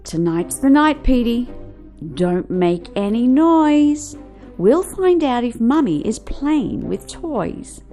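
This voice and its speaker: An excitable little boy from a storybook, voiced by a woman, full of wonder and secret plans. The pitch is high and swoops freely as he confides in his teddy at an unhurried pace, with music behind him. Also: heavily acted. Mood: excited, conspiratorial. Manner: wonder-filled, conspiratorial. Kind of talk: children's storybook character reading.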